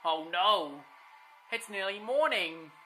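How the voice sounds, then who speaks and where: A woman's voice: medium pitch, lively intonation, takes it slowly, bright, forceful tone, echoey room, faint music behind, voice pushed up, rough recording. A woman voicing a terrified small male steam engine who pleads and cries out in fright. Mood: pleading.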